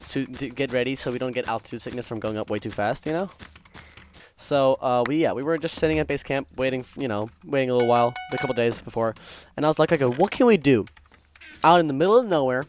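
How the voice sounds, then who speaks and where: A young man casually telling stories while gaming, rambling and interrupting himself in a distracted way. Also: mid-range voice. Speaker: gaming content creator. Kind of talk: casual storytelling while playing a game. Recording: faint keyboard typing and clicking noise. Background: computer keyboard typing and clicks.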